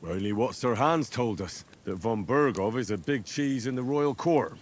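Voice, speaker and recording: A man acts a young medieval commoner turned soldier in a game cutscene. His voice is mid-pitched, and he speaks plainly and earnestly. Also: animated, moderate pace, a little hiss or hum, close to an everyday voice. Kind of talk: video game cutscene acting.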